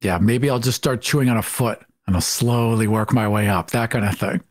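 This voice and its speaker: A man's voice: on the low side, a little fast. A man hosting a podcast, giving introductions and chatting with his fellow speakers. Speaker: podcast host, writer and producer.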